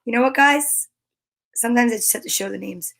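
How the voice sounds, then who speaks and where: A woman's voice: fairly high pitch, a little fast, gravelly, fairly bright tone. A woman hosting a live children's story time, reading aloud and chatting to viewers with playful, encouraging exclamations. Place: at home.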